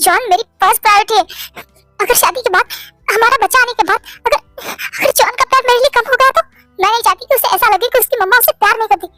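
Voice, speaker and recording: A torn, anxious young mother who stammers and keeps apologizing, as a reader voices her. Her voice is very high and bright, with soft music playing underneath. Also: quick pace. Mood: earnest. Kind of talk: storybook drama reading.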